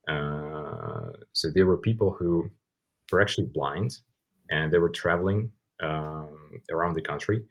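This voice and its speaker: A man with a very low, husky voice speaks English with a Ukrainian accent, as a native Russian speaker. He is an interview guest who explains things conversationally, circling back to rephrase his points as he goes. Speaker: podcast guest.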